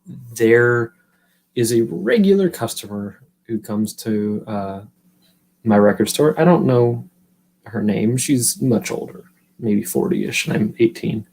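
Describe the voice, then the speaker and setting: A man's voice: mid-range voice, animated, takes it slowly, gravelly, a little mellow, a little hiss or hum, rough recording. A man in his thirties from California, hosting a live stream and telling a long story in a loose, rambling, foul-mouthed way while answering his chat. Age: 30s. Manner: profane, rambling, chatty, answers viewers' chat.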